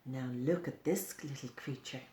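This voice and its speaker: A woman's voice: very deep voice, moderate pace, dark tone. A woman reading and explaining a story for young children in simple, repetitive phrases with questions. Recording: roomy, echoing indoor sound.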